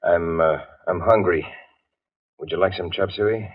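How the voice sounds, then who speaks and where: An actor playing a nervous, brooding boyfriend in a 1940s radio suspense drama, with a low, husky voice. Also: male voice, a little slow. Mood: brooding, restrained. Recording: dull, muffled vintage radio recording.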